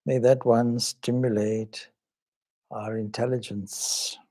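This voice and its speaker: Guy leading a meditation, real slow and soft-edged mellow voice, calm, lots of pauses. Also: mid-range voice. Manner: calm, measured, reverent, pausing between short phrases. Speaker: swami leading a guided meditation. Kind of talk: guided meditation with some Sanskrit chanting.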